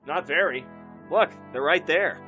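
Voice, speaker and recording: A man's voice: a little high, measured pace, sharp tone, muffled recording, faint music behind. A man playing a cocky, vain young warrior with a boastful, drawling swagger in a fantasy audio drama. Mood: casual.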